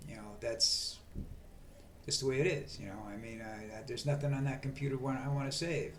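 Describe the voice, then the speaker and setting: An older man hosting a rambling vlog monologue, with a monotone voice and a halting way of talking full of fillers and restarts. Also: mid-range voice, slight background noise, rough recording. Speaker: vlog host.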